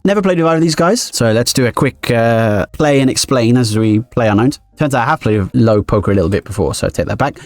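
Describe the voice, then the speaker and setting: A man streaming his poker games live, thinking aloud quickly and analytically as he explains each decision. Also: mid-range voice, a little mellow, no room sound.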